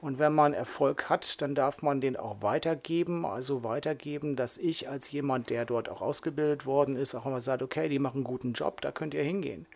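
A man's voice: medium pitch, fairly quick, vocal fry, hardly any pauses. A middle-aged man from northern Germany co-hosting a podcast, chatty and enthusiastic as he thinks aloud. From Lower Saxony, Germany. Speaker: podcast co-host. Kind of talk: casual podcast chat.

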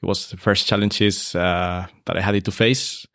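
A man, a hotel expert talking relaxed in an interview, with many fillers and restarts. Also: low-pitched, measured pace, gravelly, no room sound, studio quality. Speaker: hotel operations expert, guest. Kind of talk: casual interview conversation.

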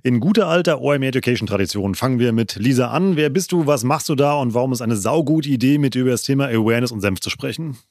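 A man's voice: medium pitch, expressive, quick pace, few pauses, a little mellow, no room sound, clean studio sound. A man hosting a podcast, moving between scripted presenting and interview talk. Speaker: podcast host.

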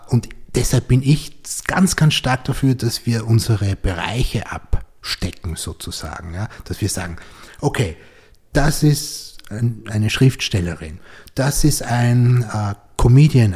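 A man's voice: medium pitch, warm tone, breathy edge. A man hosting a podcast interview, reflective and thinking aloud as he talks. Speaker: podcast host. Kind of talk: conversational interview.

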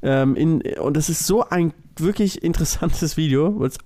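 Male podcast co-host, somewhat high-pitched, informal explanatory chat, matter-of-fact delivery. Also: normal speed, dark tone.

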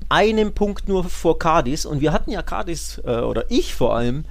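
A man's voice: on the high side, expressive, moderate pace, creaky. Colloquial man chatting on a football podcast. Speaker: podcast co-host. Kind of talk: casual football podcast chat.

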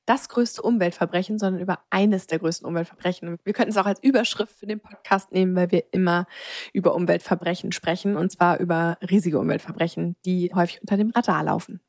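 A woman's voice: lowish voice, fairly even intonation, fast talker, darkish tone, no echo, clean studio sound. A woman hosting a podcast, explaining and chatting in a conversational presenting style. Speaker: podcast co-host.

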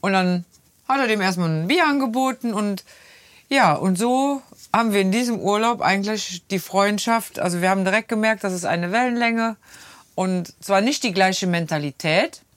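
A woman's voice: mid-range voice, moderate pace, no room sound. A woman of fifty with a Rhineland German accent, co-hosting a podcast and telling stories in an easygoing, chatty way. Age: 50s. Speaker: podcast co-host. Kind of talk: casual chat.

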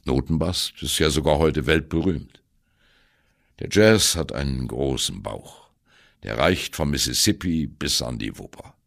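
An actor playing an aging, gruff free jazz musician in an audio drama monologue, blunt, wry and reflective, with a gravelly voice. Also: male voice, a bass voice, lively intonation, measured pace, a bit bright, no room sound, studio quality, full character voice. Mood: wry, proud. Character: aging male free jazz veteran, gruff, irreverent, wistful.

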